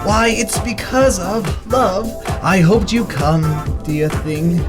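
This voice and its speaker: Psychopathic clown villain, gleeful, mock-affectionate, wildly animated pitch, radio drama, music behind. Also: male voice, slow pace. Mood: mock-sweet.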